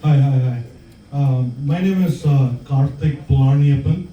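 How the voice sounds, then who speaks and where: A man from southern India with a South Indian accent, telling stories in a stand-up set with hesitant pauses and many fillers. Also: medium pitch, muffled recording, a little hiss or hum. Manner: hesitant, filler-heavy storytelling with many ums. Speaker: stand-up comedian. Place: comedy club stage. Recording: roomy club sound from far off.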